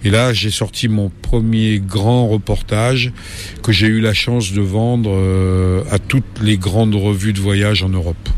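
A man in his late sixties, a travel reporter, answering interview questions in a relaxed way, pausing often with fillers and restarting his sentences. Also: fairly low pitch, slight background noise. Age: 60s. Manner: hesitant, many fillers and restarts.

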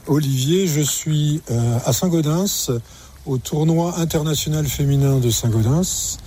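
A man reporting for radio from a tournament, introducing and interviewing a guest in a measured way. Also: a little high, warm tone, husky.

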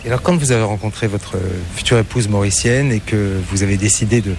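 A man's voice: fairly low pitch, fast talker, background noise. A man interviewing someone in a casual, conversational way, feeling out his questions as he goes.

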